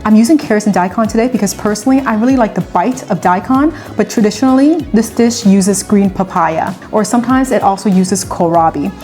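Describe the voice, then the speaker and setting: Female cooking-channel host, chatty and conversational, mid-pitched voice, faint music underneath. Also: a little fast, hardly any pauses, warm tone. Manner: chatty and conversational, with personal asides. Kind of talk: cooking tutorial with personal chat. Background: faint background music.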